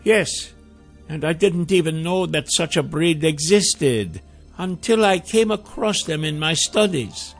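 A man acts out a weary sorcerer from a fantasy audiobook. The voice sits fairly high and is heavily creaky. He speaks slowly and solemnly, weighing each phrase with gentle earnestness. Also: animated, faint music behind. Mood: dry, measured. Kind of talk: fantasy audiobook character dialogue.

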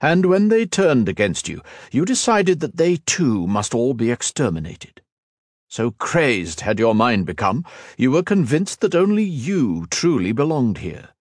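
A man voicing a cold, mocking villainous male sorcerer who taunts slowly and theatrically. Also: mid-range voice, very animated, darkish tone, dry recording, clean studio sound, pitched above the performer's own voice. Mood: taunting.

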